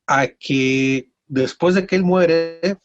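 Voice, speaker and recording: A man from Mexico with a Mexican accent, telling stories casually as an interview guest in a rambling, halting way with repeated words. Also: fairly high pitch, lo-fi sound, faint music behind. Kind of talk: casual conversational storytelling.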